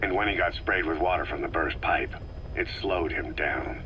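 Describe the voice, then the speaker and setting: A stoic veteran soldier in a video game. The voice is extremely deep and hard-edged, with short, understated delivery. There is faint noise on the recording. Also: male voice, moderate pace, sharp tone. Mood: stoic recall. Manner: terse, clipped, understated. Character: terse, stoic, battle-hardened male soldier. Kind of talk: scripted video game dialogue. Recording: faintly noisy cassette tape.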